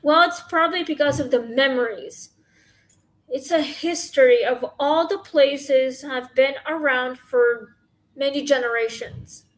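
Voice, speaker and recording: A woman with a scratchy voice hosting a casual question-and-answer chat, halting and rambling with many fillers, talking into her phone. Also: high-pitched. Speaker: podcast host.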